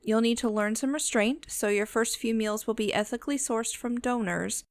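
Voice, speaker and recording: A woman's voice: fairly high pitch, moderate pace, no echo, lightly acted. A woman playing a bossy, protective immortal vampire in an ASMR roleplay, speaking with dry, commanding condescension. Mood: bossy, condescending. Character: bossy, protective female vampire, immortal, businesslike and dryly menacing. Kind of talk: scripted ASMR roleplay audio, addressing the listener.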